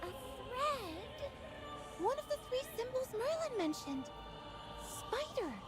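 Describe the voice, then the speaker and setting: Polite, earnest young game mage: extremely high, expressive voice, loyal servant to royalty. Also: female voice, slow pace, exaggerated voice. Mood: earnest concern. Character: gentle, loyal young female mage attendant to a princess. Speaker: voice actor in a fantasy game. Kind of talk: dramatic game voice acting. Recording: game music playing behind.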